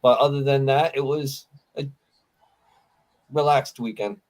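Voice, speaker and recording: A man on a podcast, calmly recounting his weekend. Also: medium pitch. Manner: calm, unhurried storytelling. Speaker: podcast regular. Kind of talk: casual chat.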